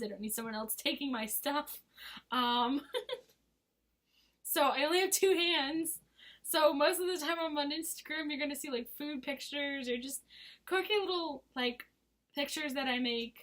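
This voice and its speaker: High-voiced hoarse young woman vlogger. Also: moderate pace, a bit bright. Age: 20s. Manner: rambling and giggly, full of fillers. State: hoarse, losing her voice. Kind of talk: casual chat to camera.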